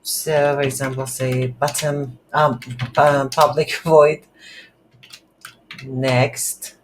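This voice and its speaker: An instructor talks through a coding tutorial without a script, thinking aloud. The delivery is very slow and halting, in a medium-pitched voice. There is faint noise behind the recording. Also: level tone. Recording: light background noise.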